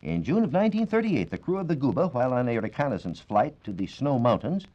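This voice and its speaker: An American man giving a formal, measured, scripted science talk as a reporter on 1940s radio. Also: medium pitch, very animated. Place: radio studio. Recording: dull, band-limited 1940s radio recording.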